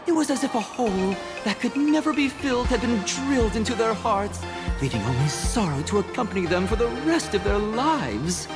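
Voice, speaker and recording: A woman's voice: mid-range voice, lightly acted. A woman playing a devout, effusive recruiter for a happiness society in a video game, speaking formally and preachily. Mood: solemn. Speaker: recruiter for a mutual aid society. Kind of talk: theatrical video game dialogue.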